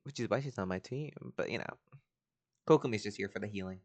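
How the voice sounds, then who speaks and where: A woman's voice: a bass voice, fast, a little mellow, dry recording. A woman giving casual, offhand, reactive commentary as she plays through a video game. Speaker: gaming streamer.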